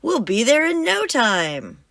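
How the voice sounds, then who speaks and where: A woman's voice: sits high, huge pitch swings, slow, creaky, fairly bright tone, no room sound, clean studio sound, voice pushed up, exaggerated voice. A woman voicing a cool, upbeat cat pirate captain in a storybook, calling out heartily. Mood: eager.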